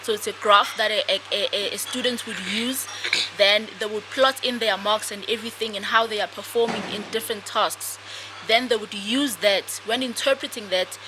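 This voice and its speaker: A young woman from South Africa, a guest author answering interview questions in a soft, hesitant way with many fillers. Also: medium pitch, fairly even intonation, normal speed. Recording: noisy podcast recording.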